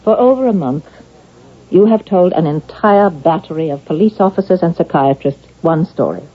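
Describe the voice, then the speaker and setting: A probing, husky-voiced psychiatrist. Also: female voice, fairly low pitch, expressive. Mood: confronting. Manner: measured, deliberate, pressing. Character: probing, insistent psychiatrist questioning an accused killer. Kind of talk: vintage radio drama acting. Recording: dull old radio drama recording.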